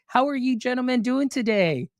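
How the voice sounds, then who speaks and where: A man's voice: very high voice, lively intonation, normal speed, no room sound, clean studio sound. A man hosting a podcast interview, upbeat and effusive in his welcomes and thanks. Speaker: podcast host.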